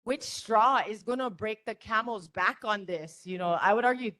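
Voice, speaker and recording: A woman testifying at a public meeting, emotional and blunt, with a naturally loud voice. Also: mid-range voice, moderate pace. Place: community meeting room.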